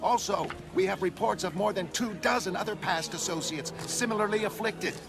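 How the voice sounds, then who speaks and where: An accusing male reporter from a comic-book drama, voiced by a man, pitched fairly high. He needles and insists with every phrase, over clearly audible music. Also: a little fast. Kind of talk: motion comic character acting. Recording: music behind the voice.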